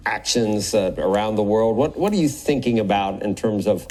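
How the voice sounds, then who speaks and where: Low-voiced man anchoring business TV. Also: moderate pace, a loud crowd. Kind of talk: business TV interview.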